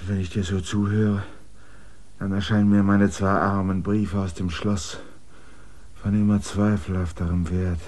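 An actor in a German radio drama playing a stubborn, earnest land surveyor, an outsider in a village. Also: male voice, low-pitched, little pitch movement, measured pace, a little mellow. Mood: brooding. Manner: earnest, insistent, rising to agitation. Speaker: lead character.

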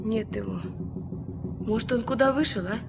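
An actress playing an anxious, impatient young granddaughter on a riverboat in a Soviet radio play. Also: female voice, on the high side, moderate pace, gravelly. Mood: urgent, pleading. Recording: noisy old mono radio play recording.

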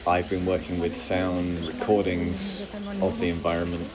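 A man, a sound artist, quietly introducing himself. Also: low-pitched, monotone, takes it slowly. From United Kingdom. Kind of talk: self-introduction. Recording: loud background noise.

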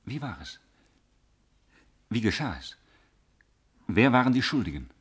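An actor playing a young Roman officer, formal and restrained, respectful yet quietly probing, in 1950s radio drama. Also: male voice, medium pitch, sing-song, a little slow, husky, exaggerated voice.

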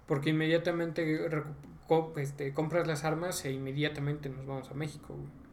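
A man's voice: on the high side, flat delivery, moderate pace, a little mellow. A young man with a Mexican accent chatting casually as a podcast host about video games, using slang and frequent swearing.

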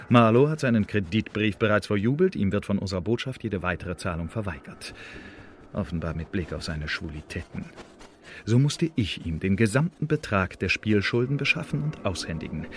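An exacting secret agent, reporting in a fairly low voice. The delivery is clipped and officious in radio play style, with faint background noise. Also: male voice.

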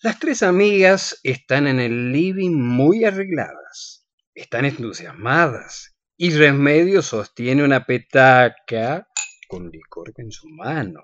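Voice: medium pitch, lively intonation, takes it slowly, fairly bright tone, no room sound. A narrator reading the scripted stage directions of a radio play, on a dull, dry recording.

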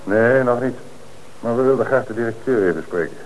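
An actor playing a calm, authoritative police commissioner in an old radio play, on a noisy old radio recording. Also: male voice, mid-range voice, lightly acted. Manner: measured, authoritative. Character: middle-aged male police commissioner, calm and dry. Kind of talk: 1960s radio play acting.

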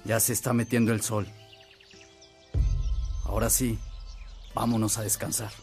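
A man's voice: fairly low pitch, level tone, unhurried. An actor playing a strict, tidy father who owns a hacienda, in a radio drama. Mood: calm, fatherly. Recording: background music under the voice.

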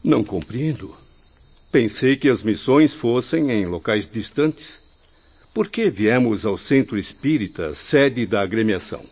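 A man's voice: mid-range voice, animated, unhurried, darkish tone, a little hiss or hum. A man playing the humble, hesitant spirit of a learned professor in a radio drama, with formal, earnest diction. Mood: puzzled, unsure. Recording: muffled radio play with music bed.

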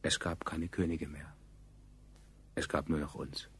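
An actor playing a grave survivor of a catastrophe, recounting it solemnly and with a husky voice in a 1970s German radio play. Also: male voice, very deep voice, flat delivery, a little slow, a bit bright, heavily acted. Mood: solemn, sober.

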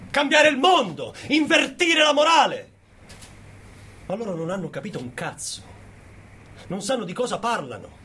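A man's voice: sits high, sharp tone, heavily acted. A man playing a contemptuous, authoritarian who preaches harsh discipline in a theatrical radio monologue, scornful and full of rhetorical questions, with noise behind him. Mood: indignant outrage.

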